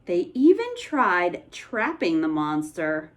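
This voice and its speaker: A woman reading a children's picture book aloud as an expressive bedtime story. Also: fairly low pitch, slow pace.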